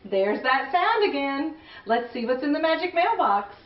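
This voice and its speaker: A woman's voice: sits high, big pitch swings, moderate pace, noisy background. A woman around her forties presenting playfully to young children in a gushing, exclamatory way. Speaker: children's read-aloud show host. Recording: roomy, distant, slightly dull sound.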